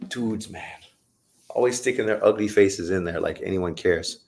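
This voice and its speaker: A man's voice: deep voice, moderate pace, vocal fry, a little mellow. Profane man calling a fight live. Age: adult, old enough to say he got old. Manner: profane, blunt and joking. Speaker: livestream host reacting to a boxing fight. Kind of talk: casual live commentary, reading chat.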